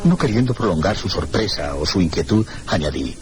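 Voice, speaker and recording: A man acting a melancholy, reserved guest in a radio mystery drama, speaking Castilian Spanish in a measured, literary, courteous manner. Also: sits low, animated, darkish tone. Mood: melancholy, brooding. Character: melancholy, reserved one-armed male guest narrating his tale. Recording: noisy old radio drama recording.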